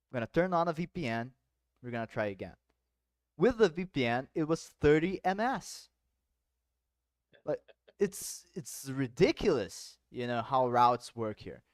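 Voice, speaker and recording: A man hosting a podcast, talking in relaxed, rambling English with frequent asides and an occasional swear. His voice sits at an ordinary middle pitch. The recording is dry with no room echo. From South Brazil. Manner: loose, swearing, explains with many asides. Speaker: podcast host. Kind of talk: casual chat.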